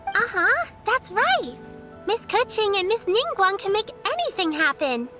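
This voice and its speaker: An earnest little girl guide in a game. Her voice is extremely high and bright, politely giving gentle instructions, with faint music underneath. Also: big pitch swings, measured pace, exaggerated voice. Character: small, helpful, earnest young girl guide. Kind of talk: video game voice acting.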